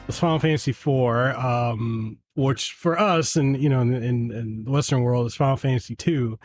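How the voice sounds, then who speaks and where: A man's voice: mid-range voice, normal speed, faint music behind. A middle-aged man from Western Samoa whose first language is Samoan, telling a casual story as a podcast guest and acting out family dialogue. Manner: animated, acts out family dialogue.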